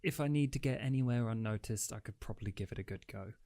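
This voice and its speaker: A man's voice: on the low side, fast talker, dark tone, no room sound, studio quality. Sarcastic man role-playing a character. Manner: flippant, sarcastic. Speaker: player. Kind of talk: in-character roleplay.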